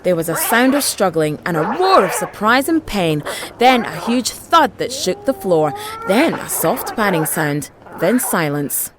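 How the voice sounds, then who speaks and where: A woman tells a children's story with theatrical, rhythmic delivery. Her pitch moves widely and keeps the narration animated and expressive. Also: a little slow, background noise. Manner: dramatic, rhythmic delivery with repeated phrases.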